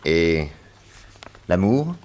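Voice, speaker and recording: An actor playing a shrewd detective in a French radio crime drama, probing and deducing with calm authority. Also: male voice, deep voice, extremely slow, heavily acted. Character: shrewd, assured male detective, probing and logical.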